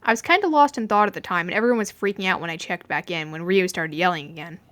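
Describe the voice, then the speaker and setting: A woman's voice: fairly low pitch, fast, a bit bright, natural voice. A woman voicing a reserved young female assassin, polite and a little hesitant, in a fan story. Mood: curious.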